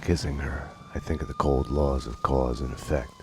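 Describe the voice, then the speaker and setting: An actor playing a world-weary, guilt-ridden homicide detective, narrating a video game in a slow, grim, deadpan way. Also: male voice, extremely low pitch, noisy background. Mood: brooding, fatalistic. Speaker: narrator and protagonist. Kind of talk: noir scripted narration. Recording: loud music behind.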